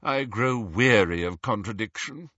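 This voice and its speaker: A man's voice: fairly low pitch, animated, a little slow, bright, forceful tone, no echo, highs cut off, full character voice. A man voicing a haughty, indignant old male wizard in a painting for an audiobook, pompous and supercilious in manner. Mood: weary contempt. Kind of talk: audiobook character acting.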